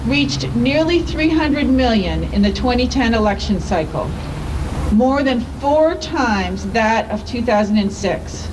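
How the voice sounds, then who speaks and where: A woman reading formal letters aloud to a public gathering as an activist, in a deliberate, emphatic and measured way. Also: a little high. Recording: distant microphone, clearly noisy ambience.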